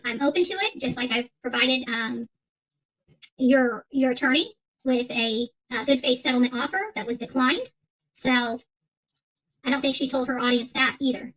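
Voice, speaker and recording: High, bright-voiced combative woman. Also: normal speed, rough recording. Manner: combative, emphatic, repeats phrases. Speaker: host of an online commentary channel. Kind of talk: unscripted rant to an audience.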